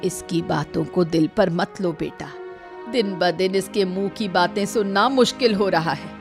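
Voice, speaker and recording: A woman voicing a kindly, elderly village woman in a cartoon story, talking in a gentle, affectionate way. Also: on the low side, measured pace, soft background music. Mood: aggrieved, gentle. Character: kindly elderly village woman tending her cane field. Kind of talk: Hindi cartoon story voice acting.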